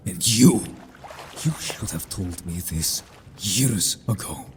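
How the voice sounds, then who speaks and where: A man's voice: deep voice, expressive, takes it slowly, slight background noise. A man playing a stern but caring, protective merman in a fantasy audio drama, speaking firmly and formally. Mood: stern, upset. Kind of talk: scripted audio drama acting.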